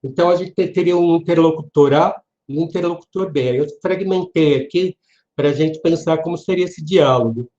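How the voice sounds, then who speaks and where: A man with a Brazilian accent giving an informal online literature lecture in a hesitant, halting manner, often checking for agreement. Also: fairly high pitch, dark tone. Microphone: online video call. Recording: dull, lo-fi online class audio.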